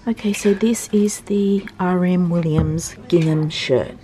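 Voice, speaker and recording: A woman's voice: lowish voice, measured pace, faint music behind. A young woman, chatting casually as she tries on thrifted clothes in a small fitting room, now and then dropping to a whisper. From Australia. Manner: chatty, often drops to a soft whisper. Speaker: thrift vlogger. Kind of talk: casual try-on chat. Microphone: handheld compact camera filming in a mirror.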